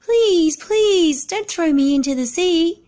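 Brief: small scared boy turtle voiced by a woman, high and pleading, bouncy pitch. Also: sing-song, slow pace, exaggerated voice. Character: tiny frightened baby boy turtle.

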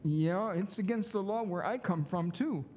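Eager old apprentice angel played by a man, guileless, high voice, radio play in a reverberant hall. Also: moderate pace, a little mellow, a little hiss or hum, lightly acted. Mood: mild, earnest. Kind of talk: stage radio play acting. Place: school auditorium stage. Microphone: microphone on a stand. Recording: echoey hall with music behind.

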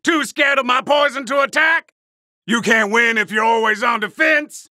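A male actor playing a vicious, cocky wolf-man poison fighter in a video game, taunting in a harsh, gravelly voice. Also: extremely high pitch, normal speed, no room sound, clean studio sound, full character voice. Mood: cocky battle shouts. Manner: cocky, sneering, shouted battle taunts. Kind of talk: video game battle voice lines.

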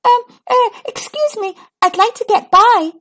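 Plucky storybook girl superhero, woman performer: high, lively, declarative and expressive. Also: measured pace, soft background music, full character voice. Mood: timid. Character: brave, spirited little girl playing superhero. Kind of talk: children's storybook read-aloud.

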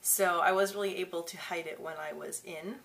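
A woman's voice: lowish voice, fairly even intonation, fairly quick, creaky, a bit bright, poor audio. A young woman in her twenties talking casually to camera in a small bedroom, stuttering with many repeated syllables and blocks. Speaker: vlogger. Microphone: camera mic at desk distance.

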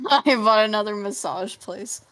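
A woman's voice: mid-range voice, unhurried, fairly bright tone, dry recording. A woman playing a game with a friend, laid-back and giggly, chatting casually. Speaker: co-player. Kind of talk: casual gameplay chat.

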